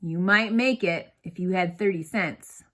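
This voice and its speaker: A woman voicing a gruff, grudgingly kind male bus driver in a storybook read-aloud, with a brusque, wry, grumbling manner. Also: lowish voice, unhurried, a bit bright. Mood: skeptical.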